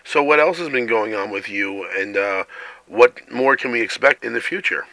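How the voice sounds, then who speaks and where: A male radio interviewer with a gravelly, piercingly bright voice and a fairly low pitch. He asks measured, formal questions, recorded close and dry. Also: dry recording, rough recording.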